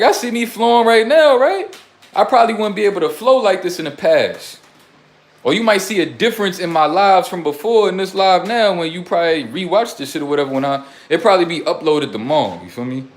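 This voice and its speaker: A young man casually livestreaming to his chat, talking in loose streetwise slang, blunt and preachy, rambling from one topic to the next. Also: sits high. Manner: rambling, drifts between topics. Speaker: self-styled life coach.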